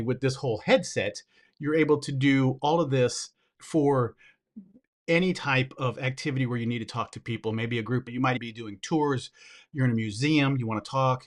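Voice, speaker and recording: A man giving a hands-on product review, upbeat and enthusiastic, talking to viewers directly while he handles and shows the gear. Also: medium pitch. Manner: talks while handling gear, brief pauses and asides.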